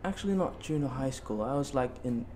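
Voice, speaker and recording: A man's voice: mid-range voice, lively intonation, brisk, darkish tone. A young man in his late teens from Bali giving casual interview answers as a student guest, hesitant and repeating himself. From Bali, Indonesia.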